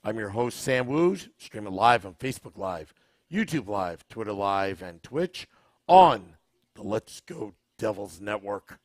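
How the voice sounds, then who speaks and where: A man's voice: on the low side, no room sound, studio quality. A man hosting a live-streamed podcast, talking with a scratchy, worn voice, chatting and reading viewer comments. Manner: relaxed, unhurried, asks the audience questions. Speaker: podcast host.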